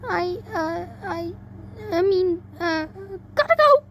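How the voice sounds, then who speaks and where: A woman voicing a tired, defensive young female pegasus pony in a story reading, grumpy and halting. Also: very high-pitched, expressive, a bit bright, pitched above the performer's own voice, full character voice. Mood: panicked. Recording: noisy amateur home recording.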